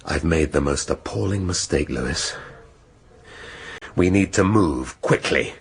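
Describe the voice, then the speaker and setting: An actor playing a brusque, middle-aged English senior police detective with an impatient, wry manner in a radio drama. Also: male voice, rumbling low, expressive, a little slow, gravelly, slightly rough, full character voice. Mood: urgent, self-reproaching. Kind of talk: British radio drama acting.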